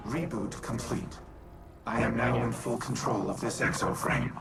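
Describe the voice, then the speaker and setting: A man voicing a stately artificial intelligence in a robot body for a video game, speaking in a formal, measured, solemn way. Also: medium pitch, fairly even intonation, gravelly. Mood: detached, formal. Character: Rasputin, stately male war-machine AI in a robot body. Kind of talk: scripted video game dialogue. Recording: loud music behind the voice.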